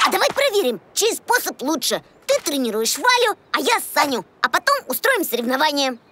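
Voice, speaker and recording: A woman's voice: high-pitched, sing-song, fairly quick, exaggerated voice. A woman voicing a boisterous, cocky young boy bear cub in a children's cartoon, brash and boastful. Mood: dismissive. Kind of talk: animated children's cartoon acting. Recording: loud music behind the dialogue.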